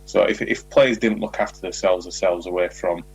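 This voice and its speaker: A man's voice: sits low, steady tone, moderate pace, husky, lo-fi sound. A man talking as a football manager in an informal interview, repeating words as he explains.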